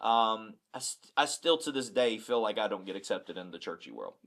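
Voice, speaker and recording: A man's voice: on the low side, lively intonation, moderate pace, creaky, fairly bright tone. A middle-aged man who is a pastor, talking candidly and bluntly in a casual podcast chat, often repeating words as he starts a thought. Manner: candid, blunt, self-deprecating.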